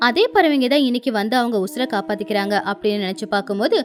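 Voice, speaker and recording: A woman narrating a scripted children's story as an expressive storyteller. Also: a little high, rapid-fire, faint music behind.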